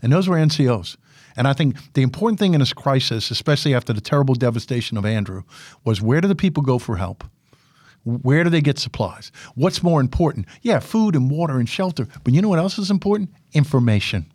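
An older man with a big, commanding voice speaks as a guest in an interview. He tells his stories with animated energy, repeating himself and restarting sentences. The recording is close and dry, with no room sound. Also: medium pitch, fast talker, dry recording. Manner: animated storyteller, repeats words and restarts often. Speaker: guest, former military public affairs officer and instructor. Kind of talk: casual podcast interview.

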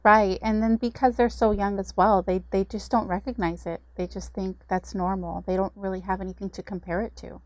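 A woman's voice: mid-range voice, level tone, a little fast, hardly any pauses, no echo. A woman who is a community services director, explaining steadily in an interview with the occasional filler. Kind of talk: informational interview.

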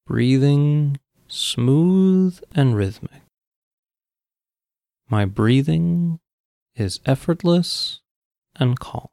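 Slow, creaky man guiding meditation. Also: fairly high pitch, dry recording, clean studio sound. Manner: calm, measured, long pauses between phrases. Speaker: guided meditation coach. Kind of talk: guided meditation, slow soothing instruction.

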